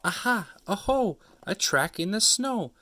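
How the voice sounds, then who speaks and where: A man's voice: sits high, animated, measured pace, bright, forceful tone, slight background noise, voice pushed up, full character voice. A man voicing a bold, curious young female monster child in a storybook reading. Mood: excited.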